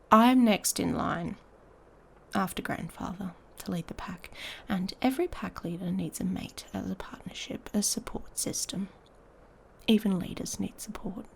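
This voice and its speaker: A woman playing an irritable, sarcastic she-wolf pack heir in an intimate ASMR audio roleplay, soft and breathy, often near a whisper. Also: mid-range voice, a little hiss or hum. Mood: grudging, earnest. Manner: sardonic, exasperated, drawling with sighs.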